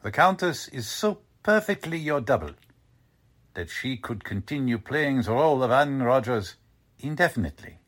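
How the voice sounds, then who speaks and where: Man acting a slow, husky, menacing Nazi agent. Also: mid-range voice, fairly bright tone, heavily acted. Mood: smooth menace. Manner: deliberate, suave, veiled threats. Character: cold, courteous Nazi German agent, menacing male kidnapper. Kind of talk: old-time radio drama acting.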